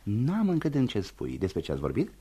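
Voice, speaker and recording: A suspicious, nosy colleague in a radio drama. The voice is low and raspy, with lively, teasing inflection as he pries and needles. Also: exaggerated voice. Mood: needling, suspicious. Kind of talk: Romanian radio theatre acting.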